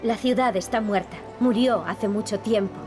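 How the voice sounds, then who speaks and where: An actress with an accent from Spain playing a determined, earnest young princess with dry wit for a video game. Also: female voice, medium pitch, moderate pace, close to an everyday voice. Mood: grave, resolute. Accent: Spanish from Spain. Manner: earnest, resolute. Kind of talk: dubbed adventure game dialogue. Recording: music behind the voice.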